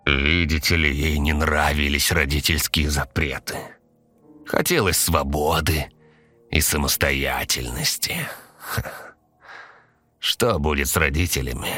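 A man voicing a sinister undead train conductor in a horror story, drawling with falsely sweet, ironic, calm menace. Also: rumbling low, exaggerated voice. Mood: mocking.

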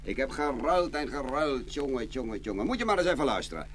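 A man's voice: medium pitch, very animated, a little fast, a bit bright, a little hiss or hum. A man playing a cheerful, naive farmer in a children's fairy-tale audio play, chatty and hearty, full of exclamations. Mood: proud, contented. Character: cheerful, naive farmer, contentedly bartering away his goods.

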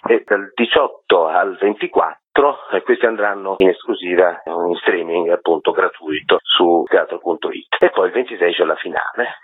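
A man being interviewed as an artistic director, speaking husky-voiced over a tinny telephone line. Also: low voice, moderate pace, few pauses, dry recording. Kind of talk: radio interview by phone. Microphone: phone call.

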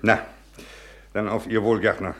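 An actor performs a self-assured husband in a radio play, with a fairly low male voice. He is brisk and used to giving orders, formally hospitable as host. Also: level tone, lightly acted. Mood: hearty, hospitable. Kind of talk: German radio play acting.

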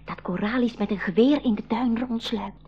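A woman's voice: a little high, normal speed, breathy edge. A woman with a Flemish accent playing a bold, suspicious seventeen-year-old sister in a 1980s school radio play, in an insistent, conspiratorial manner. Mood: determined, urgent. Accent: Belgian Dutch (Flemish). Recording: dull lo-fi 1980s radio recording.

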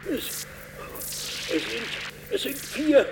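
A horrified spaceship commander in a science-fiction radio play. His voice is very high and slow, breaking into agitated exclamations. The old recording has clear background noise. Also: lively intonation, exaggerated voice. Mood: shaken. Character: horrified male starship commander, a father facing alien monsters. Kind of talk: German science-fiction radio drama acting.

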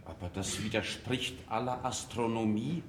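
An actor playing an anxious, doubting friend of an astronomer in a German radio play, agitated and incredulous. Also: male voice, on the low side, moderate pace, slight background noise, close to an everyday voice. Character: Galileo's anxious, doubting male friend, easily shaken. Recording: roomy radio play recording.

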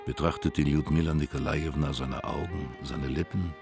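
A man's voice: a bass voice, steady tone, normal speed, vocal fry, music in the background. A man narrating a literary German radio drama from a script, as its storyteller.

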